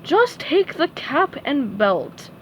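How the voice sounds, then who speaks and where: A woman's voice: a little high, very animated, slow, slight background noise. A woman doing a flustered fish teacher. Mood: exasperated. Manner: flustered, sighing, exasperated. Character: weary exasperated female pufferfish teacher. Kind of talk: storybook read-aloud.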